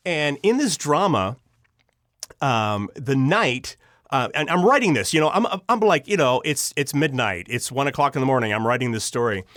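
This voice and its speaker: A man's voice: medium pitch, expressive, fairly bright tone, no echo. Earnest man telling stories, very dry recording. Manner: earnest, halting, repeats words as he goes. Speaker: audio drama writer and producer, interview guest. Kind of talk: conversational interview storytelling.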